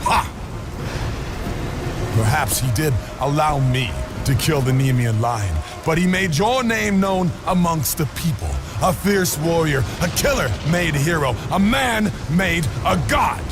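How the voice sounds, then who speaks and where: An actor playing a bitter, boastful demigod strongman jealous of his brother in a video game, taunting and theatrical. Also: on the high side. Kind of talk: dramatic video game cutscene acting. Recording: music behind the voice.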